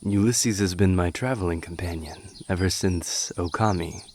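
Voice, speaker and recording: A man's voice: deep voice, moderate pace, darkish tone, a little hiss or hum, lightly acted. A man playing a gracious, mild-mannered warrior king in an audio roleplay, courteous and earnest. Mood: earnest, curious.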